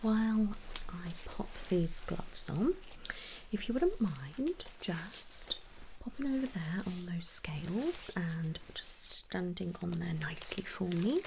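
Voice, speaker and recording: British female, ASMR doctor: soft whisper, very slow delivery, polite and soothing, slight background noise. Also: lowish voice, lively intonation, creaky. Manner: gentle, reassuring, polite. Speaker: ASMR performer playing a doctor. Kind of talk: ASMR medical role-play.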